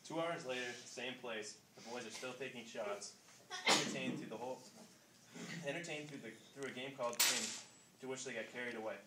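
A young man reading scripted narration aloud, haltingly, with some repeated words. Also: mid-range voice. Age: young adult. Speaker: narrator of a student radio drama. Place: classroom, indoors. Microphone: distant handheld camera. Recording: echoey, noisy room, distant mic.

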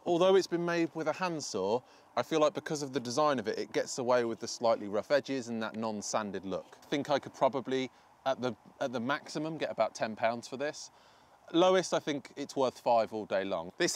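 A British man in his thirties talking informally and self-deprecatingly as he narrates his own woodworking video outdoors. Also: mid-range voice. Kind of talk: casual DIY vlog commentary. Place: outdoor paved garden patio by a field.

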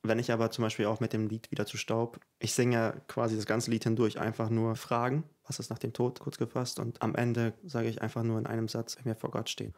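Young man from Ukraine, reflective podcast guest, fillers and soft laughs, even flat intonation. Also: mid-range voice, a little fast, few pauses. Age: 20s. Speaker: guest musician. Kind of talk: conversational podcast interview. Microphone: headphones, studio mic at home.

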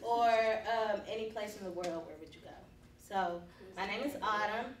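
A woman's voice: medium pitch, a little fast, reverberant. A woman of twenty-one leading a casual focus group, chatty and teasing, recorded by a far camera in a conference room. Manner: chatty, laughs easily, teasing. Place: large conference room, indoors.

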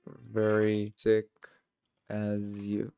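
A man giving a casual screen-recorded software tutorial, filling his talk with "obviously" and "you're gonna". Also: on the low side, completely flat delivery, extremely slow, gravelly, clean tone, no room sound. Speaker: tutorial presenter.

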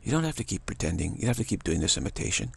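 Deep gravelly-voiced man rambling. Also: very animated, very fast. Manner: rambling, repeats words, chuckles often. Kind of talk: spiritual monologue.

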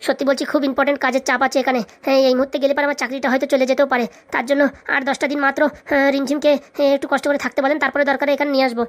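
Evasive young cartoon husband played by a woman, high-pitched, hurried patter, placating and apologetic. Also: little pitch movement, close to an everyday voice. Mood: anxious, placating. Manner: placating, excuse-making. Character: evasive working son-in-law, stingy young husband. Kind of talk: comic cartoon dialogue.

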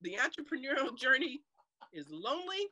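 A woman's voice: high-pitched, moderate pace, no echo. A middle-aged woman guest on a video-call interview, telling her story animatedly, laughing and acting out her doubts. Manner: animated storyteller, laughs often, acts out inner voice. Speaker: guest author. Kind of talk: conversational interview. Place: room with blue cloth backdrop. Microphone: webcam on video call.

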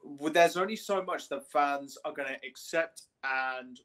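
Young guy on a podcast call, voice a bit high, explaining stuff like a keen fan. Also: a little slow, a bit bright. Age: young adult. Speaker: podcast co-host. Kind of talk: casual discussion of games and films. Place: small room at home, on a video call.

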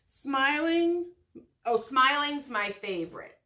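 A middle-aged woman with an American accent talks to camera in a high, bright voice. She sounds a little stuffed up with a cold. Her delivery is casual and chatty, wandering into asides like a home vlogger. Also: expressive, slow. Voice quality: slightly stuffy, congested. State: has a head cold. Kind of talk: casual chatty shopping haul. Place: small home craft room, indoors.

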